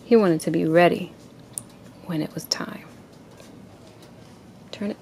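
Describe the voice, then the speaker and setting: A woman reading a children's picture book aloud to a child in an expressive storytelling way. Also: on the low side, slow, gravelly. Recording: noisy amateur home recording.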